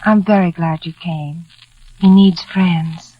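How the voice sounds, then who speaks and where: An actress playing a shy, kindly young housemaid, earnest and gentle, in a 1940s radio drama. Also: female voice, fairly low pitch, fairly even intonation, takes it slowly. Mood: earnest, wistful. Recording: muffled, faintly noisy old radio recording.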